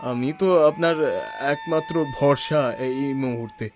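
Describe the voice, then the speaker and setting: A man voicing a scheming, greedy village doctor in a cartoon, with smooth, wheedling bedside talk. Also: fairly high pitch, normal speed, music in the background, natural voice. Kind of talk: cartoon voice acting.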